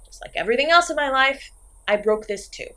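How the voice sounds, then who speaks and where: Female comedy-horror slasher, acted by a woman: highish, wide-swinging pitch, theatrical, exasperated, flipping from sulky to manic. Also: animated, fairly quick, bright, forceful tone. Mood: dejected, baffled. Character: failed slasher killer, woman, melodramatic, insecure, petulant, comically chatty. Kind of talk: comedic horror audio roleplay, acted monologue to listener.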